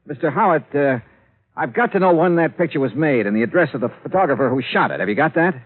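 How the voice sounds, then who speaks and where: A hardboiled insurance investigator talks in clipped, wry lines and narrates his own case. His pitch moves in a lively way. It comes through a narrow, phone-like old radio broadcast. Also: fast talker. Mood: businesslike. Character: tough, dry male insurance investigator narrating. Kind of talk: 1950s radio drama acting.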